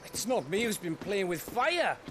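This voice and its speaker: A man's voice: high voice, very animated, moderate pace, over music. A man voicing a cheeky, loyal young scout in a video game, plain-spoken and informal. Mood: cheeky, teasing. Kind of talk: dramatic video game voice acting.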